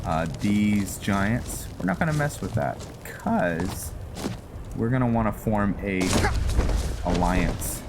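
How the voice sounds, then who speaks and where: Over a clearly noisy background, a man with a fairly low voice talks through a video game playthrough. His delivery is slow and halting, and he rambles casually as he thinks out loud and searches for the right word. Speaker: gaming video host narrating a roleplay playthrough.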